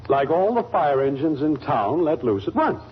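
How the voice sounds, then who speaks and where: A man's voice: on the high side, sing-song, normal speed, husky. A man playing a blustering, exasperated family father with emphatic, sputtering delivery in a 1940s radio comedy. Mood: wry. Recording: dull, noisy 1940s radio recording.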